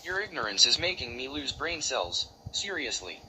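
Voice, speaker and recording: A man's voice: mid-range voice, big pitch swings, normal speed, sharp tone, a little hiss or hum, rough recording. A man hosting a commentary channel, mocking and insulting the person in the clips he plays back. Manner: taunting, insulting, scornful.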